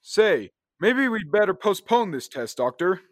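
A young man playing an earnest, excitable space captain in a 1930s-style radio serial, exclamatory and emphatic. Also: sits high, measured pace, no echo, clean studio sound. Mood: uneasy. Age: young adult.

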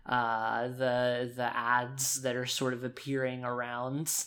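A man's voice: mid-range voice, completely flat delivery, slow, bright, forceful tone. A man improvising narration for his character in a tabletop roleplaying game, hesitant and loosely worded as he thinks aloud.

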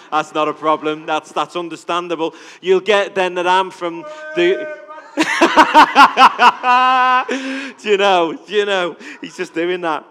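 Chatty Liverpool preacher in a hall. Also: male voice, on the high side, sharp tone. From England. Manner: chatty, asks the crowd questions. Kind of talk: conversational sermon. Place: church hall with audience. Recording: reverberant hall.

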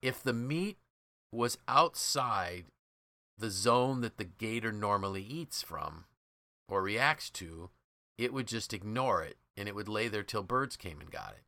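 A male podcast host with a fairly low, bright and forceful voice. He explains things conversationally, leaning on key words for emphasis, in a dry, close sound. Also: animated, unhurried, no room sound.

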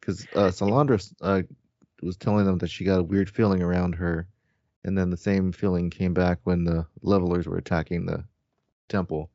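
Deep voiced guy on a book podcast, informal rambly retelling, slightly lo-fi mic. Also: little pitch movement, moderate pace, dark tone, no echo. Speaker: podcast co-host. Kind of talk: casual book recap discussion.

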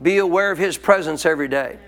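High-voiced preaching man. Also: quick pace, fairly bright tone. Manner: call-and-response preaching, colloquial. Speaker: pastor. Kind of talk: sermon teaching.

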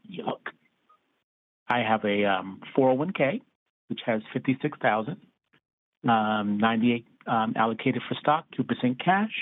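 A man in his sixties calling in to a radio show, reading out his numbers methodically. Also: medium pitch. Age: 60s. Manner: reads out figures methodically. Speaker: caller. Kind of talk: phone-in caller. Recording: tinny phone line.

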